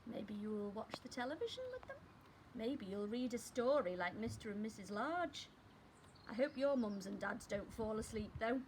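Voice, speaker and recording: Woman doing storytime for little kids, highish lively voice, chats to them, noisy recording. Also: moderate pace. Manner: expressive, addresses the child listeners directly. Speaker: primary school storyteller. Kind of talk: storytime reading to young children with chatty asides.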